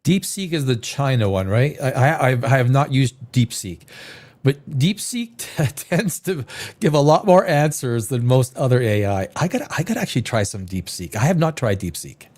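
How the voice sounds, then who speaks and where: A man's voice: mid-range voice, very animated, normal speed, darkish tone. A man hosting a live reaction show, rambling and restarting his sentences, with a sniffly head cold. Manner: rambling, repeats words and restarts often.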